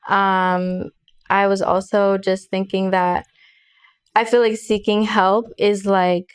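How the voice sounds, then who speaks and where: A young woman from Chicago talks as a guest with a smooth, clear voice. She is thoughtful and a little hesitant. She fills her pauses with soft ums. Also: medium pitch, level tone. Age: young adult. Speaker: college student guest. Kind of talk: casual interview.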